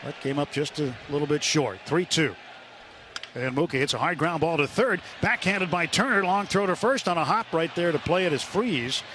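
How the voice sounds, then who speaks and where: A man's voice: fairly high pitch, moderate pace, fairly bright tone. A man doing live play-by-play baseball commentary for radio. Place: stadium broadcast booth. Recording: clear stadium noise behind. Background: stadium ambience.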